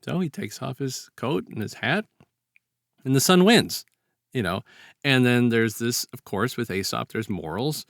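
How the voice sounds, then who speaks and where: A man's voice: medium pitch, expressive, measured pace, vocal fry, fairly bright tone, no room sound. Rambling male co-host, close dry sound. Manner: rambling, colloquial, acts out short quotes. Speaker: podcast co-host. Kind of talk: casual chat, informal storytelling.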